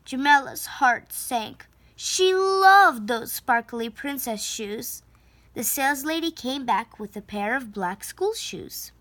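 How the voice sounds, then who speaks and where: A woman reading a children's picture book aloud with deliberate, expressive phrasing. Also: high-pitched. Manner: slow, deliberate, with emphatic phrasing.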